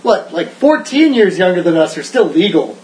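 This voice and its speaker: A man's voice: high-pitched, sing-song, normal speed, darkish tone. A man in his thirties, a podcast guest, talking with wry humor and frequent laughs. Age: 30s. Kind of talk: casual chat. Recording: echoey room, faint noise.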